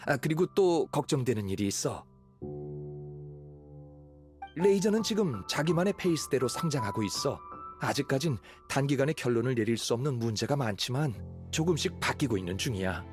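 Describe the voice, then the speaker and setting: An actor playing a kindly, fatherly middle-aged hunter in an anime game, speaking in a measured, reassuring way. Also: medium pitch, lively intonation, music in the background, close to an everyday voice. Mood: concerned, reflective.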